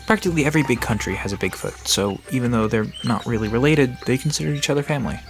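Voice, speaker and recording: A man's voice: medium pitch, fast talker, faint music behind, lightly acted. A man playing an easygoing park guide in an audio drama, explaining things in a casual, conversational manner. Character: Sam, easygoing male park guide to cryptids.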